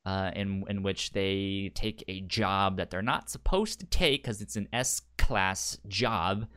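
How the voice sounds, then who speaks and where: A man co-hosting a casual book-club podcast, talking in a halting way with many restarts. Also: low-pitched, a bit bright, clean tone. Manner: halting, repeats and restarts words. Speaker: podcast co-host.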